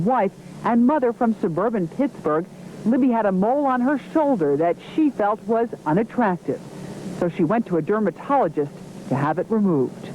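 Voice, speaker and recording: A woman's voice: mid-range voice, muffled recording, slight background noise. A woman reporter reading scripted narration for a television news report.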